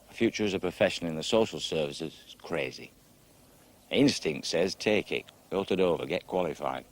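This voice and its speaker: An earnest man near forty. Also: deep voice. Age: around 40. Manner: earnest, reflective, quiet. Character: troubled man near forty seeking advice. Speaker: social worker. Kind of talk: TV drama dialogue.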